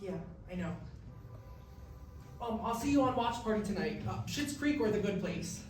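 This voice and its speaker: A woman's voice: medium pitch, animated, normal speed, darkish tone, soft background music, natural voice. A woman playing a weary, evasive male college student in a staged musical reading, casual and hesitant. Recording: very echoey hall, distant mic, noisy.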